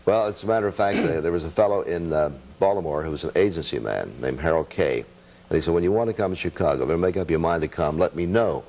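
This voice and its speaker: An older man with a very low voice talks as a radio veteran and interview guest. He tells anecdotes in a halting, modest, self-deprecating manner, casually looking back. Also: darkish tone. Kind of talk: casual chat, reminiscing.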